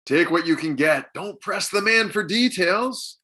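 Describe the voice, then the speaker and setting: A man's voice: high-pitched, animated, measured pace, sharp tone, heavily acted. A man playing an exasperated middle-aged dad with a dry, sarcastic manner in a comedy table read. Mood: dry, grumbling.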